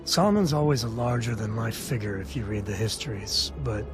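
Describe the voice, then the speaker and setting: An actor playing a wry, world-weary space cowboy in a video game, laconic and folksy. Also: male voice, on the low side, expressive, brisk, music in the background, natural voice. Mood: reflective, wry.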